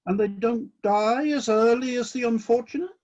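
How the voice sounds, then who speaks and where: A man acting in a radio play as God, a disillusioned but decisive ruler of heaven, with indignant questions and deliberate commands. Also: very high-pitched, darkish tone, highs cut off. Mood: incredulous, dismayed.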